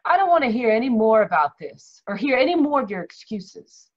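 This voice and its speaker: Domineering older showbiz aunt, played by a woman, husky, swooping scornful delivery, old radio play, slightly dull sound. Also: a little high, sing-song, fairly quick. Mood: scornful anger. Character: haughty, bitter, sharp-tongued middle-aged aunt, vaudeville lady. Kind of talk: 1940s radio drama acting.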